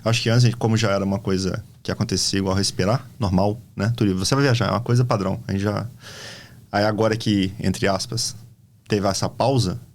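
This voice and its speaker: A man interviewing a guest, asking long, rambling questions in a relaxed way. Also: lowish voice, a little fast. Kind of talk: casual conversational interview.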